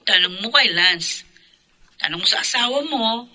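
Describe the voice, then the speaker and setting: A woman's voice: mid-range voice, expressive, normal speed, sharp tone, low-quality recording. A woman playing a spiteful, taunting other woman in a radio drama, with a mocking drawl. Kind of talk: horror radio drama acting.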